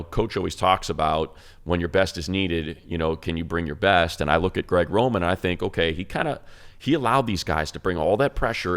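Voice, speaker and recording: A man's voice: sits low, a bit bright. An American man co-hosting a sports show, talking through analysis in an emphatic, explanatory way with rhetorical questions. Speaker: sports show co-host and radio play-by-play announcer. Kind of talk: sports talk analysis, conversational.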